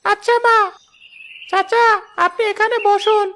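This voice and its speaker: A man's voice: very high-pitched, little pitch movement, a little slow, sharp tone, voice pushed up, exaggerated voice. A man voicing an eager young village child who helps mother, in a cartoon. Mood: eager, polite. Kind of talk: cartoon dub. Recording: music behind the voice.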